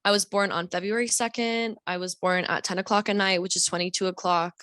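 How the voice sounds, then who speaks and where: A woman's voice: mid-range voice, fairly even intonation, fairly quick, a bit bright, no echo, clean studio sound. A young woman as a podcast guest, talking in a rambling, reflective way with many fillers. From Deep South, US. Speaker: guest author. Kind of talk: casual podcast conversation.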